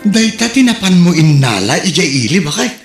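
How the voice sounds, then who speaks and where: Man playing a skeptical Ilocano farmer husband, lively pitch, echoey room. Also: moderate pace, over music. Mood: grumbling. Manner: questioning, exclaims loudly. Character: skeptical rural husband, Mario. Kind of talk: comedy drama acting.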